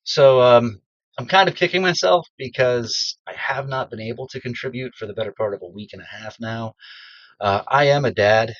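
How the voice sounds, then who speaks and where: A man's voice: medium pitch, moderate pace, fairly bright tone, dry recording, highs cut off. A middle-aged American man, still congested after strep throat, rambling casually with lots of ums as a beginner voice actor in a small cedar closet. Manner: rambling, self-deprecating, many ums. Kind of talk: casual personal vlog.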